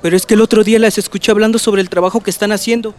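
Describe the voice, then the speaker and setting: A man's voice: sits high, fairly even intonation, rapid-fire, close to an everyday voice. Shy, flustered young Mexican man played by an actor. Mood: flustered, defensive. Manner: hesitant, flustered. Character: Diego, shy apologetic young village man. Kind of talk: radio drama acting.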